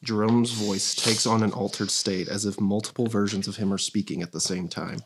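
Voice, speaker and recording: A man's voice: on the low side, steady tone, normal speed, noisy background. A man narrating scripted stage directions at a comedy table read.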